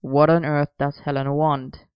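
A woman voicing a calm, dry-witted young man who speaks in a measured, unhurried way. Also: low voice, smooth, no room sound, dull audio, voice pushed down. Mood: wry.

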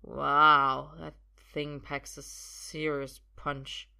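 A woman voicing a drunk, drugged young man in an audiobook, confused and halting, with slurred words. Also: low-pitched, level tone, vocal fry, pushed and bright, exaggerated voice. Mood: tipsy. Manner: halting, stammering.

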